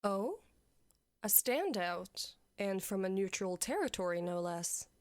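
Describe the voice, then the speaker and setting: Woman playing a loyal blunt female army commander, formal, mid-pitched voice, fantasy audio drama, dry sound. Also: very animated, slow pace, no echo. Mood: businesslike, questioning. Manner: formal, direct. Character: frank, dutiful female military commander advising her king. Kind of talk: audio fiction acting.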